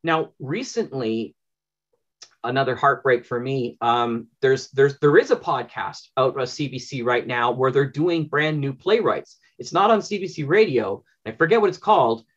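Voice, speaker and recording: A man's voice: medium pitch, expressive, moderate pace. Canadian guy in his 50s chatting, keen, trips over his words, kinda lo-fi video call sound. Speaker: interview guest, audio drama producer. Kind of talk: casual chat, telling anecdotes. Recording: slightly dull, lo-fi video call.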